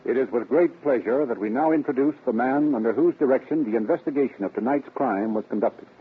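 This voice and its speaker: A man's voice: mid-range voice, fairly quick. A man announcing and narrating a radio drama in scripted 1930s broadcast style. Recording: tinny vintage radio recording, music behind. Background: music.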